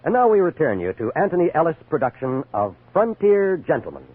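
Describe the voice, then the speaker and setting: A man's voice: fairly high pitch, big pitch swings, brisk. An American man announcing programs in a scripted 1950s network radio style. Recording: muffled 1950s radio broadcast recording.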